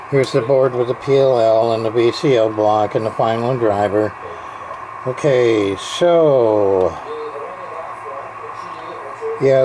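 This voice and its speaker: Slow-talking man over clear background noise. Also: mid-range voice. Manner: rambling, mutters to himself while searching. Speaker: radio repair hobbyist. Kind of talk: informal hands-on repair walkthrough. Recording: clear background noise, amateur workbench video.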